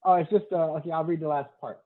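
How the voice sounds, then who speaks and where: A man's voice: on the high side, fairly even intonation, fast. Phone-like man reading stage directions. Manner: matter-of-fact, clipped short sentences. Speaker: stage directions reader at a table read. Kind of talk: screenplay narration, read aloud. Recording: thin, phone-like online call audio.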